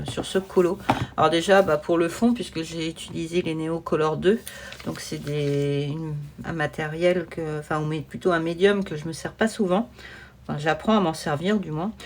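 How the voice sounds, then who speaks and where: A woman's voice: on the low side, darkish tone. A woman chatting informally and easygoingly as she presents a hobby vlog from her desk. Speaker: hobby vlogger presenting her coloring projects. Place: at a desk at home. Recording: noisy home recording with clicks.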